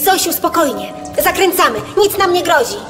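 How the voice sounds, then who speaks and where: A woman acting a calm, knowledgeable guide to young explorers in a children's audio drama, reassuring and explanatory. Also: very high voice, moderate pace, long reverb tail, over music.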